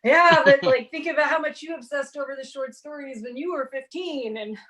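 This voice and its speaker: A woman in her forties whose first language is Portuguese, telling an animated story on a convention panel and acting out the exchanges she quotes. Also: high-pitched, brisk. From half Brazilian, grew up speaking Portuguese. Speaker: panelist author. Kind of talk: casual panel talk.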